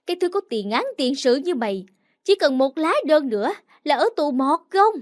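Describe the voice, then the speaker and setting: A woman voicing a loud, greedy older neighbor woman who scolds and hurls abuse in an audio story. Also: expressive, normal speed, dry recording, clean studio sound. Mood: screaming. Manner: scolding, shrill, abusive.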